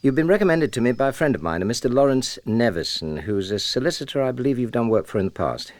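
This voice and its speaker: A man's voice: medium pitch, very animated, quick pace, darkish tone, no room sound, natural voice. An actor playing a reserved British publishing man, polite and a little hesitant, in a naturalistic radio drama. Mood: polite urgency.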